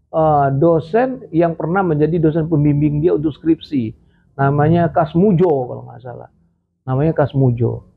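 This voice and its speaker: A man's voice: on the high side, creaky, breathy edge. A man hosting a casual political commentary show, rambling with many fillers and a slight cough. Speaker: host. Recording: tinny phone-like audio.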